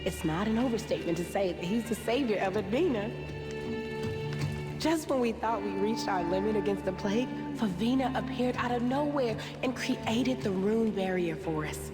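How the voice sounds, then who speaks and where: A woman acting as a solemn fantasy game adventurer, sincere and grateful. Her voice sits at a medium pitch, very echoey, with loud music underneath. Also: normal speed, lightly acted. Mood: grateful, earnest. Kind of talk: dramatic video game dialogue.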